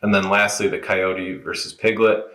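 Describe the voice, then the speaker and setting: Deep-voiced man giving a casual tutorial. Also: little pitch movement, moderate pace, bright, forceful tone, roomy sound. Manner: informal, unscripted, explaining as he goes. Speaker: product tutorial presenter. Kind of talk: casual step-by-step app walkthrough.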